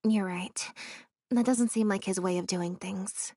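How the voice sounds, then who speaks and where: A quiet composed girl sleuth in a game, mid pitch, matter-of-fact and thoughtful. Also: steady tone, unhurried, no room sound, studio quality. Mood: pensive. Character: reserved, composed, observant young female investigator. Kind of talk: scripted video game voice acting.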